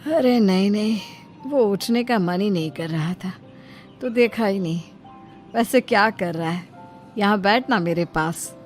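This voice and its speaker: A woman's voice: mid-range voice, unhurried, faint music behind, close to an everyday voice. Woman acting indignant ailing old mother-in-law. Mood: idle, coaxing. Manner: indignant, theatrical complaining. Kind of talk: cartoon family drama acting.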